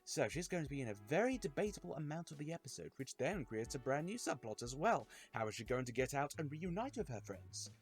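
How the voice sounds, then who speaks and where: A man's voice: a little high, sing-song, brisk, few pauses, soft background music. A man delivers scripted commentary to viewers as a video host, leaning dramatically on key words. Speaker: YouTube reviewer and host.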